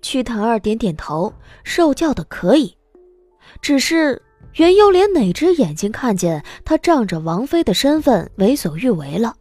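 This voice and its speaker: A woman giving expressive scripted narration as an audiobook narrator. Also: on the high side, dry recording.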